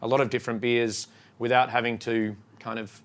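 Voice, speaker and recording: A man with an Australian accent, a brewery co-owner giving a relaxed, halting talk to a crowd. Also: medium pitch. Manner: relaxed and halting, lots of ums. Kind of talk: casual talk to a shop crowd.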